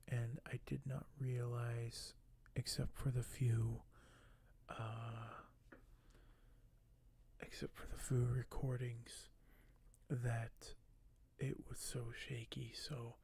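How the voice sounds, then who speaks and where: A man speaks softly as an ASMR host, often slipping into a breathy whisper. His voice is mellow with some creak in it, and his pitch barely moves. He takes his time, hesitant and a little apologetic. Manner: slow, hushed, hesitant, apologetic. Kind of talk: ASMR unboxing, soft-spoken.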